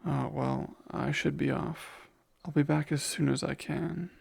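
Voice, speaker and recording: A man playing a gentle, protective vampire caretaker in a soft ASMR roleplay, soothing and a little hesitant, with formal wording. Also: fairly high pitch, fairly even intonation, a little slow, creaky, husky, exaggerated voice. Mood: gentle, reflective.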